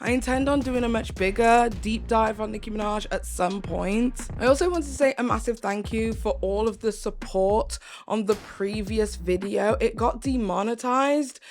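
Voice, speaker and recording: A young woman, hoarse, earnestly delivering an opinion commentary vlog and repeating phrases for emphasis. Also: on the high side, normal speed, hardly any pauses, darkish tone, slight background noise. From United Kingdom. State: hoarse, voice going. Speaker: commentary YouTuber.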